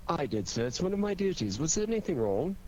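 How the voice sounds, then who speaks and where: A man's voice: a little high, very animated, fast talker, a little mellow, highs cut off, a little hiss or hum. A man playing an obliging household servant in a radio drama, speaking formally and deferentially. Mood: polite, helpful.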